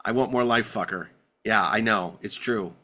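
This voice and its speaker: A man hosting a podcast, chatting casually about sci-fi television in a rambling, excitable way with stammered repeats. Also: on the low side, normal speed. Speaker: podcast host.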